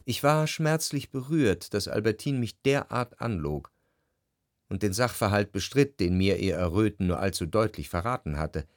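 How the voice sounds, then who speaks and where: Actor as an introspective narrator, dry studio sound. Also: male voice, lowish voice, animated, normal speed, no echo, close to an everyday voice. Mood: pained, confessional. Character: introspective, doubting gentleman narrator recalling his love. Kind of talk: literary radio drama narration. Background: music.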